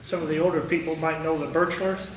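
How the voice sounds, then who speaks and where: A middle-aged or older American man with a Midwestern accent, reminiscing informally to a local audience in a rambling, halting way. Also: on the high side, fairly even intonation. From small-town Indiana, United States. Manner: rambling, halting, jumps between thoughts. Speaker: retired air traffic controller giving a talk. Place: echoey meeting room at a historical society. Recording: roomy, distant mic with faint noise.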